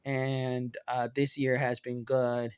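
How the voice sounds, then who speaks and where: A man in his thirties with an American accent, giving a rambling personal update in a hesitant, halting way and often repeating himself. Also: medium pitch, completely flat delivery, a little mellow, clean tone, no echo, clean studio sound. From Oregon, United States. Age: 30s. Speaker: online video creator.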